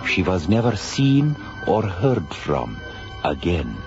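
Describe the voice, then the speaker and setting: A man playing a solemn envoy of an ancient alien Egyptian people in a radio drama, telling things formally and gravely. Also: sits low, very animated, slow pace, full character voice. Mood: solemn, reverent. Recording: dull radio recording with music underneath.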